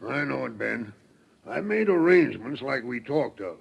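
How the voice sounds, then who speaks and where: A man playing a gruff, stubborn frontier sheriff with a gravelly, folksy drawl in an old radio Western. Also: medium pitch, very animated, moderate pace, full character voice. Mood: gruff, matter-of-fact. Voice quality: gravelly, raspy. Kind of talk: 1930s radio drama acting. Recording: vintage 1930s radio recording.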